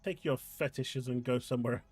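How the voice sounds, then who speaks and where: A man's voice: mid-range voice, steady tone, moderate pace, a little mellow, breathy edge, no echo, soft background music. A man with an English accent hosting a casual live stream from his desk at home, reading chat and ranting in disbelief. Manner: exasperated, ranting, incredulous.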